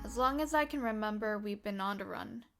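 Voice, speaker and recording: A woman's voice: medium pitch, fairly quick, loud music behind the voice, close to an everyday voice. A woman playing a tough, precocious, foul-mouthed young vigilante girl in a comic dub, blunt and cocky. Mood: wistful narration. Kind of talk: comic book dub acting.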